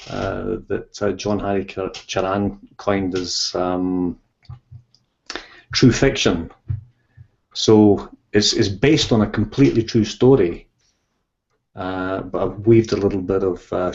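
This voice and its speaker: A man's voice: lowish voice, vocal fry, darkish tone, muffled recording. A Scottish man in his fifties, an author chatting as a guest in a casual interview, rambling with many ums and restarts. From Scotland.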